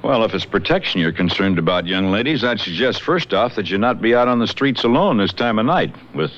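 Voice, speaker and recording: An actor playing a polite, by-the-book police desk sergeant in a radio drama, speaking in a measured, official manner. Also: male voice, lowish voice, lively intonation, natural voice. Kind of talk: 1970s radio drama acting. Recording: lo-fi old radio broadcast, faint hiss.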